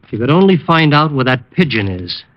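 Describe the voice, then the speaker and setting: A mid-pitched man's voice, an actor as a testy, worn-out blackmail victim in a radio detective drama. He is impatient and irritable, on a dull old recording. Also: big pitch swings, a little fast, soft background music, close to an everyday voice. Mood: irritated. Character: irritable, sleepless male blackmail victim and client. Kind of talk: 1940s-50s radio acting.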